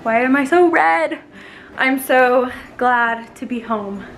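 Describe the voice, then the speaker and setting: A woman's voice: sits high, takes it slowly, fairly bright tone, a little hiss or hum. A young woman chatting casually to a camera held at arm's length, upbeat and full of fillers, talking in her car and then in her bedroom. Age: young adult. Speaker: vlogger. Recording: background music under the voice. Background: background music.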